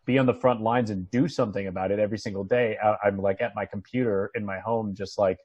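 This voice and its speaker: A man's voice: fairly low pitch, steady tone, fast, a little mellow, breathy edge, no room sound, muffled recording. An American man chatting casually on a video call in a rambling, conversational way. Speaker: actor and guest on a video call. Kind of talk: casual chat. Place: at home on an online video call.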